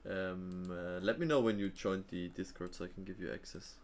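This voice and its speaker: A man's voice: sits low, fairly even intonation, moderate pace, darkish tone. A man hosting a live trading stream, chatting casually with viewers and thinking aloud with fillers and easy laughs.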